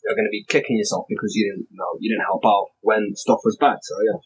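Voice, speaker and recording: A young man with a slightly low voice speaks rapidly in a casual discussion, posing rhetorical questions. There is faint noise behind him. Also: steady tone, poor audio. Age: young adult. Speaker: podcast guest.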